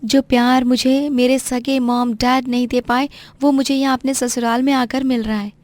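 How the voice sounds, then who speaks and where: A woman's voice: sits high, level tone, normal speed, darkish tone, no room sound, close to an everyday voice. A woman playing an earnest, outspoken young rich daughter who mixes English into her Hindi in a cartoon tale. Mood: grateful, delighted. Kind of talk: animated moral-story dramatisation.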